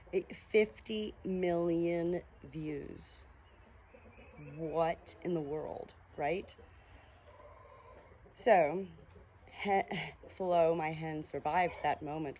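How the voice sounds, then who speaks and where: A woman with a fairly low voice reads aloud in an informal, unhurried manner. She sounds like an amateur reader who slips easily between text and casual talk. The recording is made outdoors, with faint farm ambience and animals behind her. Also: fairly bright tone. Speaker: audiobook reader and small hobby farm keeper. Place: outdoors on a small hobby farm. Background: farm animal sounds, ambient noise.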